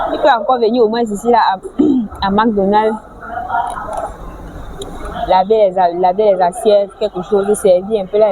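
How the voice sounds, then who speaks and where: A woman from French-speaking Africa giving a casual advice vlog, lecturing with rhetorical questions and frequent restarts. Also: mid-range voice. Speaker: vlogger. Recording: clear background noise, vehicles.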